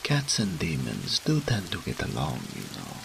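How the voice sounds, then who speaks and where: A man's voice: low-pitched, wildly swooping pitch, moderate pace, creaky, noisy background. A man voicing a smug, seductive demon incubus in a roleplay audio drama, with a teasing, haughty, theatrical drawl. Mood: teasing, dramatic.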